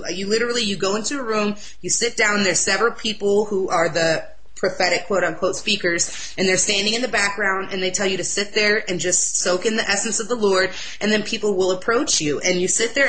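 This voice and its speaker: A young woman with a naturally loud voice tells her religious testimony in an earnest, excitable way. Her tone is bright and sharp, and her pitch sits in the middle of the range. She speaks as an interview guest. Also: slight background noise, poor audio.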